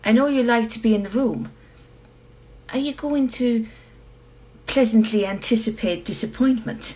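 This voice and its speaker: An actress playing a cool, enigmatic dealer in lost art treasures in a radio play, speaking in a terse, controlled way. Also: female voice, a little high, normal speed, muffled recording, natural voice. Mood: confident, enticing.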